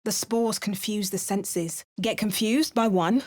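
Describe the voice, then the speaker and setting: A woman's voice: mid-range voice, fairly even intonation, unhurried, darkish tone, dry recording, lightly acted. A young woman voicing a bold female adventurer hero in a video game, dry and clipped, snapping out spell words. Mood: wary. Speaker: player character.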